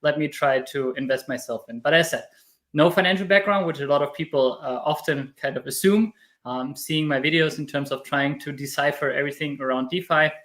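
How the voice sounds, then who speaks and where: A man in his thirties with a German accent, talking casually as an interview guest and telling stories in an animated way. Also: on the high side, fairly quick. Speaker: podcast guest, crypto YouTuber.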